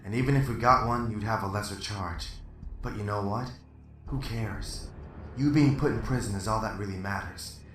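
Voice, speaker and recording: A man's voice: fairly low pitch, moderate pace, fairly bright tone, slight background noise, close to an everyday voice. A man playing a blunt, stern detective. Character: stern male police detective. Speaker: interrogating detective. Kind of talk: amateur radio drama acting. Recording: roomy, distant mic, music underneath.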